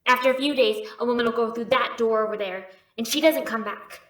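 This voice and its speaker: A woman's voice: a little high, a little fast, a bit bright, far from the mic, lightly acted. An actress playing a frightened, urgent captive woman in an audio drama. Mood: anxious. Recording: echoey, away from mic.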